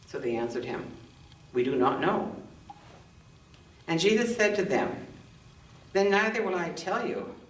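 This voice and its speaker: A woman reading scripture and scripted commentary in church, with measured, deliberate phrasing. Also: on the low side. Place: indoors in a church, roomy acoustic. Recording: roomy, distant microphone, faint noise.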